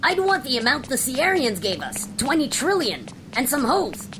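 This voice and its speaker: A woman's voice: sing-song, moderate pace. A woman playing Buster, a crude, wisecracking space crew member who complains in casual slang, in an amateur radio play. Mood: sarcastic. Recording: loud rumbling vehicle noise behind voice.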